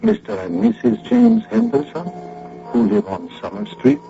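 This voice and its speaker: An actor playing a solemn foreign mystic doctor in an old radio drama, speaking slowly in grandiose, gravelly pronouncements. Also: male voice, high-pitched, huge pitch swings, music in the background, heavily acted. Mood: ominous. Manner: grandiose, slow, sermonizing pronouncements. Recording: muffled, noisy radio drama recording.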